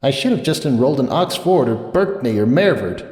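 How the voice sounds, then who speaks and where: A man's voice: medium pitch, very animated, a little fast, darkish tone, roomy sound, big-room sound, pitched above the performer's own voice, lightly acted. A man voicing a dejected young male unicorn scholar who sighs and mutters in self-pity. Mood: bitter. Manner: sighing, self-pitying muttering.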